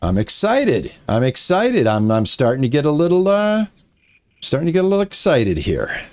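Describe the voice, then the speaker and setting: A man in his late sixties chatting casually to his viewers while streaming a farming game, rambling with frequent pauses. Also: a little high, huge pitch swings. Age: 60s. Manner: soft and quiet while petting his cat. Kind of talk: casual live-stream chat while playing.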